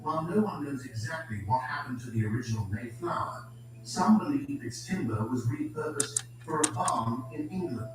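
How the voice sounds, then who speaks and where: A man's voice: mid-range voice, moderate pace, recorded from a distance. Man narrating a documentary over music. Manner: even, informative delivery. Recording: echoey video playback from off-mic with music.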